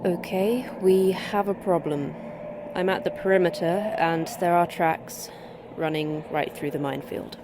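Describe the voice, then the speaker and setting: A woman's voice: fairly low pitch, measured pace, noisy background, lightly acted. An actress playing a terse, sardonic woman who manages a secret facility, with clipped, dry delivery in a sci-fi audio drama. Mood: brisk, businesslike.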